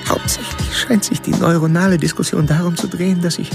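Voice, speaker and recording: A gravelly, brooding killer narrates a radio play in inner monologue. He is coldly self-absorbed and speaks in pedantic, sardonic sentences. Music runs clearly behind him. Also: high-pitched, fairly even intonation, a little fast.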